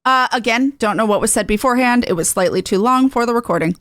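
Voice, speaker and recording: A woman's voice: a little high, fast, a bit bright, no room sound. A woman giving casual game commentary as she reads through cutscene dialogue. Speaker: video game player reading cutscene dialogue aloud. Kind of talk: game commentary with voiced dialogue read-alouds.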